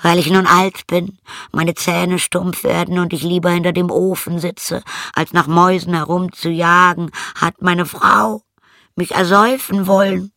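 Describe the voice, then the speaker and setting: A gloomy old storybook cat, put on by a narrator, grumbling in a high, loud voice. She sounds sulky and sorry for herself as she complains. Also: level tone, unhurried, dry recording, studio quality. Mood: gloomy, complaining.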